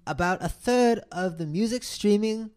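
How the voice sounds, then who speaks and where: A man's voice: high voice, moderate pace, darkish tone, clean tone. A young man co-hosting a podcast, chatting loosely and joking, with lots of filler words. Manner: loose and joking, slips into silly put-on voices. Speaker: podcast co-host. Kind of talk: casual chat.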